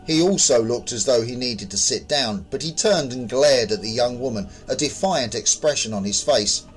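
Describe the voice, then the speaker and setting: A man's voice: mid-range voice, animated, normal speed, faint music behind, rough recording. A man reading scripted horror fiction as a dramatic story narrator.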